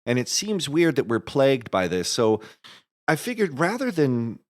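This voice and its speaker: A man giving casual, halting, thoughtful explanations as an interview guest. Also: mid-range voice, big pitch swings. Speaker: guest, composer and marketer.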